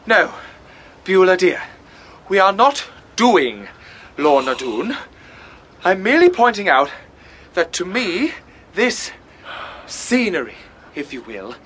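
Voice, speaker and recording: Puffed-up theatre director, highish voice going up and down loads, radio comedy, bit of noise behind. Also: male voice, sharp tone, full character voice. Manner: pompous, theatrical, grandly enunciated. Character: pompous, self-important amateur theatre director, a man. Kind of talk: comic radio drama acting.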